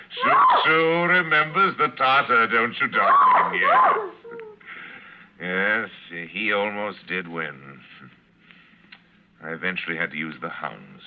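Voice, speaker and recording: An actor playing a suave aristocratic general who hunts men for sport, in an urbane, gloating, theatrical 1940s radio drama style with savoring pauses. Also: male voice, mid-range voice, background noise. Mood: gleeful. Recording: muffled vintage radio recording.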